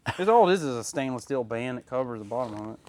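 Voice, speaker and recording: A man's voice: medium pitch, expressive, quick pace, darkish tone. A man chatting casually as a mechanic, thinking aloud and asking questions. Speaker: mechanic, co-host. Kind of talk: casual shop chat. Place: workshop. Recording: noisy workshop with clicks.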